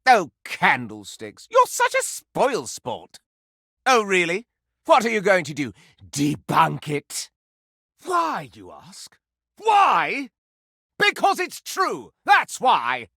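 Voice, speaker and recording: A living silver candelabra from a video game, vain and hungry for gossip. The voice is bright and piercing, leaping wildly up and down with theatrical melodrama. Every word is florid and over-enunciated with snobbish aristocratic airs, recorded close and dry. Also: male voice, high-pitched, huge pitch swings, slow, vocal fry, no room sound, exaggerated voice. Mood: haughty, scheming.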